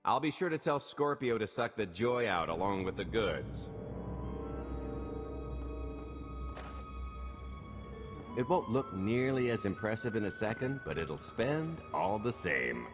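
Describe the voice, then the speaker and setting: An actor playing a wisecracking, cocky scoundrel smuggler in a video game cutscene, with glib, sardonic banter. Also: male voice, on the low side, sing-song, moderate pace, hardly any pauses. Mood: gloating. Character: wisecracking male scoundrel smuggler, cocky, dry-witted schemer. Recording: loud music behind the voice.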